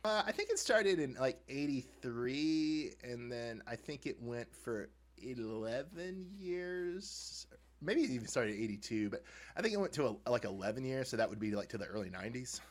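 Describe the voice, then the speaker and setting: A man co-hosting a podcast, chatting casually and comically as a fan, with animated, informal, chuckling banter. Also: mid-range voice, normal speed. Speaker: podcast co-host. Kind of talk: casual comedic fan discussion.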